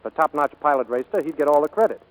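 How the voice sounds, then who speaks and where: An actor playing a kindly, earnest plane builder in 1940s radio drama, gentle and a little hesitant. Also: male voice, fairly high pitch, animated, fast talker, close to an everyday voice, low-quality recording. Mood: tender, persuasive.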